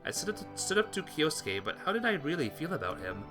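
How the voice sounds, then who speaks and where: A man's voice: a little high, very animated, brisk, music in the background. A man reading visual novel text aloud as dramatic, earnest, emotive story narration for a playthrough.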